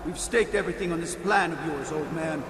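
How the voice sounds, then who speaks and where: A man's voice: on the high side, fairly quick, reverberant, long reverb tail, natural voice. A man playing an impatient, hot-headed Nord warrior in a video game, speaking bluntly and urgently. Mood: impatient, stubborn. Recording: loud background noise.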